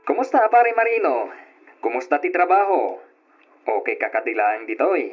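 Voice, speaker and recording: Jovial buddy with swooping pitch. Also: male voice, sing-song, normal speed, breathy edge, faint music behind. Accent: Ilocano. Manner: jovial, encouraging. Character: breezy, friendly male buddy living in the city. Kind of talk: Ilocano old-time radio drama acting. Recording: dull lo-fi old radio drama recording.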